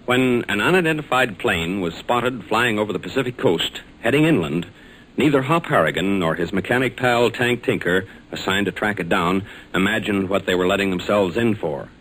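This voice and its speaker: A man's voice: lowish voice, animated, moderate pace, fairly bright tone. An American man announcing and narrating in a scripted, formal and emphatic 1940s radio style. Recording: noisy old 1940s radio recording.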